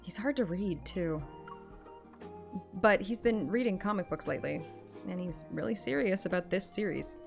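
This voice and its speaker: A woman's voice: medium pitch, lively intonation, moderate pace, vocal fry, faint music behind. A woman livestreamer with a playful, chatty manner, talking casually and reading a game story aloud. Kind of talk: casual stream chat and expressive game read-aloud.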